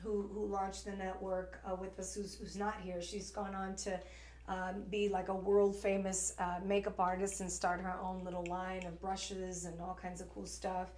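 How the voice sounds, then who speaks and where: Shopping host, woman, 40s, East Coast accent, talkative, lots of fillers, mid pitch, roomy studio sound. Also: little pitch movement, normal speed, darkish tone. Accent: East Coast American. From New York. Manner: chatty, rambling with fillers and restarts. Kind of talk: live shopping stream chat.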